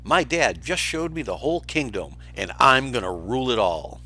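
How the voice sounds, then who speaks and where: A man's voice: mid-range voice, sing-song, moderate pace, pushed and bright, voice pushed up, exaggerated voice. A man voicing a boastful, eager young male lion cub prince in a children's storybook reading. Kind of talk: children's storybook read aloud.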